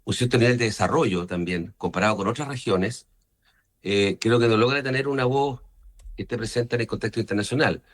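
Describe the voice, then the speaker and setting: A man's voice: lowish voice, fairly quick. A man from Chile with a Chilean accent, speaking as a senator in an interview and punctuating his points with short questioning tags. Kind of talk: political interview, explaining opinions.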